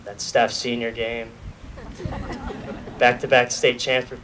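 Young man, student speaker at outdoor graduation, earnest and lightly joking, heard from far off with clear background noise. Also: mid-range voice, level tone, slow. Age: young adult. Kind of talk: graduation speech. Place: outdoor graduation ceremony at a podium. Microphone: podium mic filmed from a far camera. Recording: distant outdoor recording with noise.

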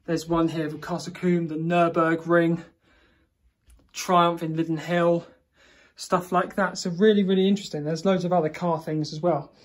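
A man's voice: fairly high pitch, level tone, moderate pace. A young man filming a chatty, meandering tour of a small holiday cottage on a handheld phone, walking from room to room. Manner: chatty and meandering, with fillers and self-corrections. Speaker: vlogger. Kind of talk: casual house tour. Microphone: phone camera held in hand.

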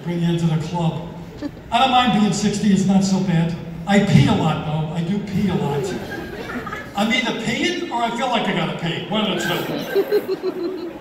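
A man in his sixties doing a stand-up comedy routine on a theater stage, with a deadpan, self-mocking delivery. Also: sits high, moderate pace, pauses often. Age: 60s. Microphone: handheld mic, filmed from far in the audience. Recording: echoey hall with crowd, from far off. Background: audience laughter and applause.

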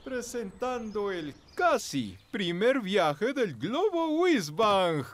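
A man's voice: high voice, big pitch swings, slow, heavily acted. A man voicing an exuberant adult showman motorbike in a children's cartoon, with a theatrical, announcer-like delivery. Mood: showy, rousing.

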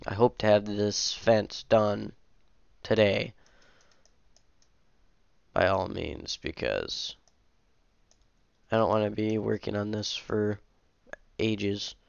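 A man's voice: fairly low pitch, takes it slowly, vocal fry, pauses often, smooth, dry recording, muffled recording. Sniffly young man with a cold. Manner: rambling, self-correcting, many fillers. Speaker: modding streamer. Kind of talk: casual commentary while editing a map. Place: home room at a computer. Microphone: headset.

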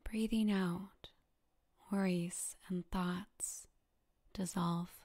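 Slow, soft-spoken woman guiding meditation. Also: fairly low pitch. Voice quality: soft, breathy, half-whispered. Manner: calm, soothing, gently instructing with long pauses. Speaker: yoga nidra meditation guide. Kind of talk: guided meditation narration.

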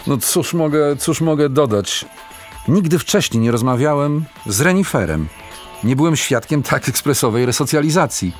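A polite male radio host played by an actor talks in a hesitant, conversational way in a radio play. His voice sits a little high, with faint music behind. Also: lively intonation, moderate pace, lightly acted. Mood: bemused, polite. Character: polite, amazed radio call-in show host. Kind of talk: radio play as live phone-in.

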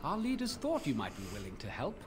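Earnest, lively-pitched mercenary soldier. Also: male voice. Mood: earnest, respectful. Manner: formal, measured. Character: earnest, courteous male mercenary company representative. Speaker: non-player quest-giver in a fantasy video game. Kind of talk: video game dialogue acting. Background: game music.

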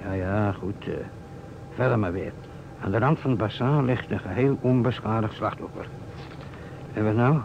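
An actor playing a sceptical, puzzled amateur detective in a radio play, hesitant and grudging. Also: male voice, lowish voice, moderate pace, long pauses, lightly acted. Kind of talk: Dutch radio play acting. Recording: dull, noisy old radio recording.

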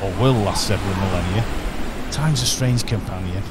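A man playing a calm, eccentric male alien time-traveler in a radio play, talking in a musing, whimsical way. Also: low voice, normal speed, pitched below the performer's own voice, natural voice. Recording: radio play with clear background noise.

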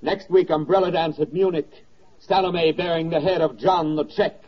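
An actor as a grave, sardonic narrator of a wartime 1940s radio drama, declaiming in a rhetorical style. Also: male voice, a little high, steady tone, normal speed, breathy edge. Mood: rising, proclaiming. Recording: dull 1940s radio record with music.

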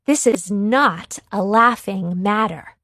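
A woman voicing a stern villager in a children's storybook, admonishing in a measured way. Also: medium pitch, a bit bright, no room sound, clean studio sound. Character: stern, reproving group of adult villagers.

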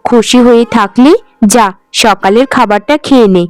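A woman's voice: a little high, moderate pace, clear, resonant tone, dry recording, natural voice. A woman voicing a doting, well-off mother in a cartoon, indulgent and a little dramatic in her delivery. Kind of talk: Bengali cartoon voice acting.

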